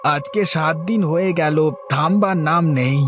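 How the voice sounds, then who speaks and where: A man's voice: fairly high pitch, unhurried, natural voice. A man voicing a humble, worried villager in a children's cartoon, hesitant and stammering as he answers deferentially. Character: nervous, humble villager seeking advice. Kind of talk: Bengali children's cartoon dubbing. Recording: faint music behind the voice.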